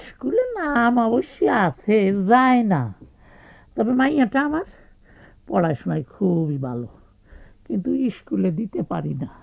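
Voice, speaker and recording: Creaky, high-voiced old Bangladeshi village landlord. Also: male voice, animated, unhurried, no room sound, full character voice. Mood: rueful, confiding. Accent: rural Bangladeshi Bengali. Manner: hesitant, repeating words, folksy. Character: ailing, hospitable, talkative village landlord and widowed father. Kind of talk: radio drama acting. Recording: tinny narrow-band radio recording with music.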